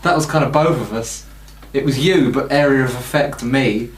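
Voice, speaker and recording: A man's voice: medium pitch, normal speed, recorded from a distance. A young man co-hosting a casual comedy chat, trading dry quips and chuckling. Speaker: co-host. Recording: roomy, off-mic, noisy.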